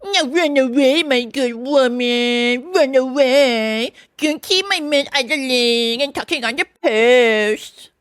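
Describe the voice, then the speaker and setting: A woman's voice: sits high, takes it slowly, few pauses, smooth, dry recording, clean studio sound, pitched above the performer's own voice. A proud, curt sentry sergeant acted out by a woman reading a storybook. He orders people off dismissively in a lifted voice, dryly recorded. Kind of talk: storybook character voice.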